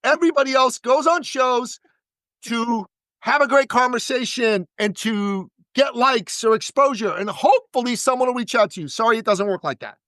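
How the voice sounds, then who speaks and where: A man talking as a podcast guest and business coach, giving an energetic pitch and repeating words for emphasis. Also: moderate pace, dry recording.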